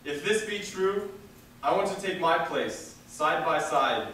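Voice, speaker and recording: A man's voice: a little high, expressive, pushed and bright. Echoey young man delivering dramatic oratory. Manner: declamatory, dramatic pauses and emphasis. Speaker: reader of a historical speech. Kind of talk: theatrical political oratory. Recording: echoey hall from far off, faint noise.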